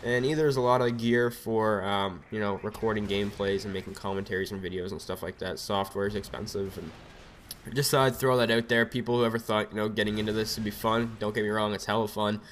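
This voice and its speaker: A young man with a cold and a raspy voice, rambling casually through gameplay commentary on a desk microphone. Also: lowish voice, flat delivery, fast, a little hiss or hum. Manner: rambling, chatty, lots of fillers. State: has a cold. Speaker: gaming commentator. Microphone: desk USB microphone.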